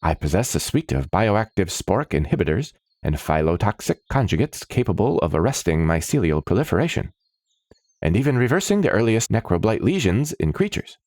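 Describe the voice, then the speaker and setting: A man's voice: fairly low pitch, very animated, brisk, darkish tone, dry recording, clean studio sound, voice pushed up. A man voicing an eager, chirpy woman alchemist doctor in a fantasy story, speaking in precise, wordy scientific jargon. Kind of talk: fantasy story character dialogue.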